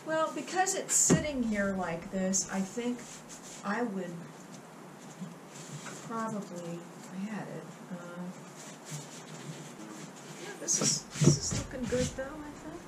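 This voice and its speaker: Middle-aged woman, soft and encouraging, slow talker, far from the mic in an echoey noisy shop. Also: fairly high pitch, lively intonation. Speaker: helper, host's wife. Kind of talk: casual chat. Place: home boat workshop. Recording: distant, echoey, noisy workshop.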